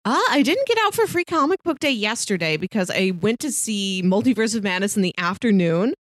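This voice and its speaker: A woman's voice: mid-range voice, big pitch swings, a little fast, no room sound, studio quality. A woman co-hosting a podcast, chatting casually about films. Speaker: podcast co-host. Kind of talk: casual movie podcast chat.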